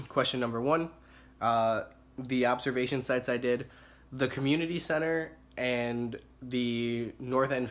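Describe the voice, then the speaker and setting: Young male student, raspy and hoarse from illness, casual and halting with lots of fillers. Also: medium pitch, a bit bright. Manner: halting, many fillers and self-corrections. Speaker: student reporting on observation hours. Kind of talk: casual spoken report.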